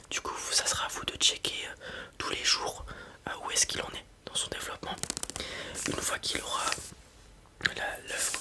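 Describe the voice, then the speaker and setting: A person whispers an ASMR roleplay as a breeder advising a customer, explaining informally step by step with hesitations and little check-ins. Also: sharp tone.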